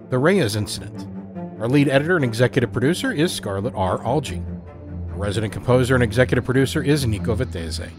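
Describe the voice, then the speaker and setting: A man reading scripted narration as the storyteller of a fiction podcast. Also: medium pitch. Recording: music under the voice. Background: musical score.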